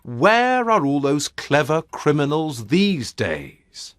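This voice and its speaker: A man's voice: a little high, sing-song, slow, fairly bright tone, no room sound, pitched above the performer's own voice, full character voice. A man doing a dry, inquisitive detective. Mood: weary. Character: bored, curious male detective, dry and sharp.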